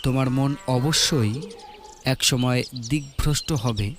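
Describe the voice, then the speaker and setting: A man voicing a calm, wise ascetic monk who gives gentle, fatherly counsel in a slow, measured way. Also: mid-range voice, slight background noise, lightly acted. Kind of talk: audio story dialogue.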